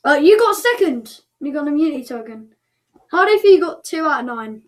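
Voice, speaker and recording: A woman's voice: high voice, big pitch swings, brisk. A woman casually announcing results as the host of an online show, chatty and thinking aloud as she goes.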